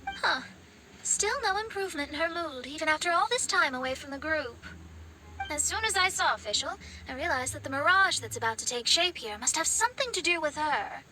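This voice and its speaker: A woman's voice: high-pitched, expressive, a little fast, pushed and bright, a little hiss or hum, low-quality recording. A woman playing a self-assured young astrologer in a video game, articulate, proper and analytical as she explains things. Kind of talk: video game character acting. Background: game music.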